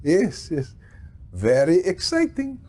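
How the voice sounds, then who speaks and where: An elderly scientist in a game cutscene. His pitch runs fairly high and swoops widely, while his delivery is slow and halting, distracted yet easily excited. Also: exaggerated voice. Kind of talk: acted video game cutscene.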